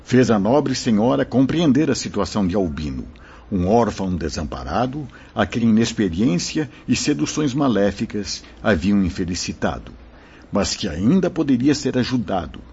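An actor playing a wise, kindly spirit mentor in a radio drama, speaking in a solemn, formal, explaining way with a husky voice. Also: male voice, lowish voice, big pitch swings, normal speed, darkish tone, full character voice. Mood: solemn, benevolent. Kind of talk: radio drama acting, declamatory. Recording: muffled lo-fi radio drama recording.

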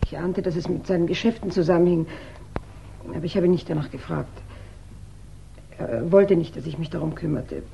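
A distressed widow in a vintage radio crime drama. Her lowish voice is soft-toned and creaky, reserved yet troubled. Faint noise sits behind the old recording. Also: normal speed, long pauses, lo-fi sound. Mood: subdued, guarded. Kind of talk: 1960s German radio crime drama acting. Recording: noisy 1960s radio recording.

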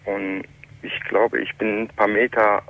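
A man in his late thirties from Iran, calling in to a radio talk show and telling his story in halting, German. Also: lowish voice, level tone, gravelly, no echo. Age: 30s. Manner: halting, plain non-native German. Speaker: caller. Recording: muffled telephone line.

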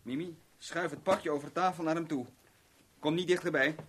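Guy acting tense armed man in old radio play, highish voice, defiant, pretty noisy tape. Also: steady tone, moderate pace, natural voice. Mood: wary, defiant.

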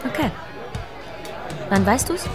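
A woman's voice: fairly high pitch, lively intonation, takes it slowly. Vulnerable young woman amid music. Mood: unsure, probing. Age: young adult. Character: vulnerable young woman, needy and naive, grateful. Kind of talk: radio crime drama dialogue. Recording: music and crowd behind. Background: music, crowd.

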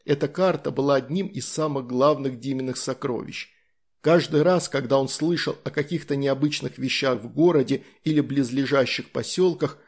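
A man's voice: medium pitch, darkish tone. A man narrating a scripted story as an audiobook reader.

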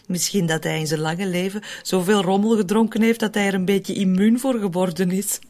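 An actress playing a cynical, scheming woman who deflects blame in a radio drama, with a husky voice. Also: mid-range voice, fairly even intonation, normal speed, a little mellow, natural voice. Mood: dryly amused.

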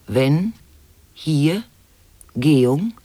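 A man playing an absurd, solemnly musing monologist in an experimental radio play, halting and deliberate, drifting into breathy whispers. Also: on the high side, animated, dark tone, dry recording.

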